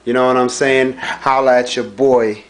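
A young man in a dim home kitchen talking through his cooking in a relaxed, slangy way, recorded on a handheld camera mic. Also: mid-range voice, moderate pace. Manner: laid-back slangy chatter, frequent "you know what I'm saying". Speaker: home cook vlogger. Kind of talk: casual cooking vlog. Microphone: handheld camera mic held close.

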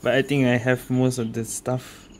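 A man's voice: medium pitch, little pitch movement, normal speed, noisy background. A man giving casual commentary as he plays through a video game. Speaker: gaming streamer. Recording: game music behind voice.

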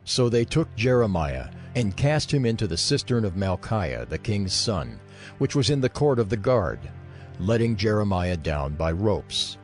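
A man's voice: on the low side, very animated, unhurried, a little mellow, soft background music. A man narrating scripture as part of a dramatized audio reading.

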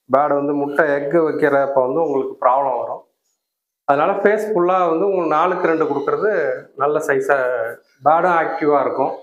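A man's voice: on the high side, highs cut off. A man, a bird breeder, plainly explaining his birds and their care to an interviewer. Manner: plain, matter-of-fact explaining. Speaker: bird breeder and farm owner. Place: indoor aviary with cages. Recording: echoey room.

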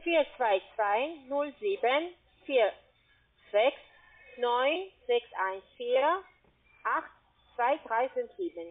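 A woman whose first language is not German, pitching an investment over the phone in halting, broken phrases. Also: lively intonation. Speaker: scam call center agent. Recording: tinny phone line.

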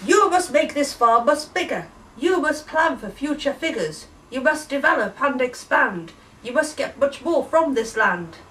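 A woman's voice: sits high, animated, unhurried, hardly any pauses, distant mic, slight background noise, pitched above the performer's own voice, poor audio. A woman voicing an angry, huffy lady who barks demands. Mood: indignant. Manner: forceful, commanding, indignant.

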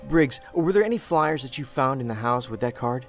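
A man's voice: medium pitch, lively intonation, brisk, faint music behind, close to an everyday voice. Dry, analytical male investigator acted by a man. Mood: dry, probing. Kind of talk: audio drama dialogue.

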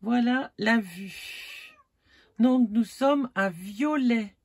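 A woman's voice: fairly high pitch, very slow, slightly rough. A middle-aged woman chatting casually to her viewers from inside a camper van, hesitant and full of fillers, filmed close up. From France. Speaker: vlogger. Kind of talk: casual travel vlog. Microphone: phone or camera close to face.

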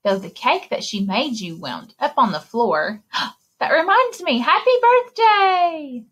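A woman in her thirties reading a picture book aloud to children in an expressive, exclaiming way, speaking directly to her young listeners, with a slightly husky voice. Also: high voice, measured pace. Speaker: children's librarian and story time reader. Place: indoor room, recorded screen share with webcam.

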